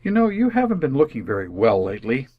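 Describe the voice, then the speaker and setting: A man's voice: medium pitch, huge pitch swings, fast talker, breathy edge, no room sound, lo-fi sound. A man playing an earnest, stubborn and easily flustered suburban father in an old-time radio family comedy. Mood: concerned. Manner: earnest, defensive.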